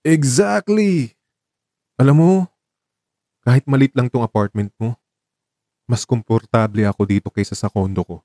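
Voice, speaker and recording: A man playing a guarded young professional warming to his lover in a radio drama, talking in a casual, reticent way. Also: medium pitch, moderate pace, pauses often, no room sound, studio quality, close to an everyday voice. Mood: open, affectionate. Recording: voice over background music.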